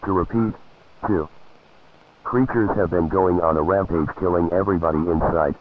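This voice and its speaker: A man delivering a scripted emergency warning as an alert announcer, formal and measured. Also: sits low. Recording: phone-like narrow band, radio alert.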